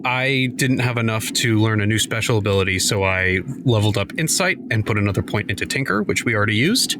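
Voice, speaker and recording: A man playing a tabletop game, telling an excitable story and laughing often. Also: on the low side, fast talker, background noise. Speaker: player. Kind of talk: tabletop game play, recounting. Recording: background music. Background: music.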